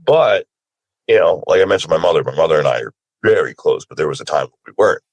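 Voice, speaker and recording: A man with a very low, creaky voice that has a husky edge. He speaks casually and candidly, as a guest on a panel, in an informal conversational way. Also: expressive, quick pace, no echo. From Sri Lanka, moved to America as a child. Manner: informal and candid, often checks in with "you know". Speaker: panelist, voice actor.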